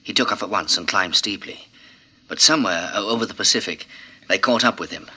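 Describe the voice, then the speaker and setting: An actor playing a grave storyteller, narrating a classic radio drama in a dramatic scripted style. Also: male voice, lowish voice, a little fast, pushed and bright, slightly rough, exaggerated voice. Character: grave male storyteller recounting disaster.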